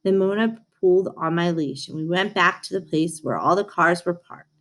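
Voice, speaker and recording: A woman reading a storybook aloud to young listeners in an expressive, direct way, as a read-aloud host. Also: on the low side, normal speed.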